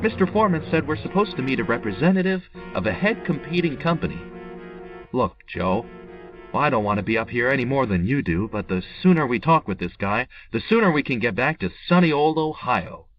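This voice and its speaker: Muffled, animated weary businessman played by a man. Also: mid-range voice, brisk, close to an everyday voice. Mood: brisk, resigned. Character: weary, wry travelling businessman, reluctant and uneasy. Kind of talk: old-time radio drama acting. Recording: muffled, distant, loud music behind.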